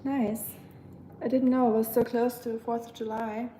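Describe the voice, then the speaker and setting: A woman's voice: on the high side, flat delivery, moderate pace, mellow, slight background noise. A young woman with a German accent, chatting casually with her livestream while she cooks at home. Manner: chatty and friendly, lots of fillers, talks while working. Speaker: vlogger livestreaming. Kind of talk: casual chat reading live comments while cooking. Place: home kitchen, clattering pots and pans behind. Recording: echoey kitchen with clattering pots.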